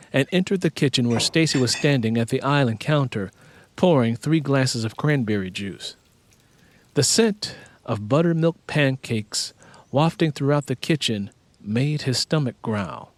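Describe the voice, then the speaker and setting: Animated male audiobook narrator, dry recording. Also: medium pitch, dry recording. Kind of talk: scripted fiction narration.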